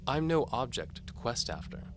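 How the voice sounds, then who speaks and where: A man's voice: medium pitch, sing-song, normal speed, background noise, pitched above the performer's own voice, lightly acted. A man voicing a seductive, haughty noblewoman in an audio drama, coy and imperious.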